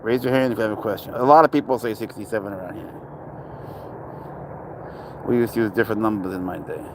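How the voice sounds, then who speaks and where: A man's voice: on the low side, quick pace. Male streamer from Spain, casual and rambling, answering chat live outdoors, light street noise. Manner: chatty, rambling, answers chat questions aloud. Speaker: livestream host. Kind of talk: casual livestream chat reading viewer comments.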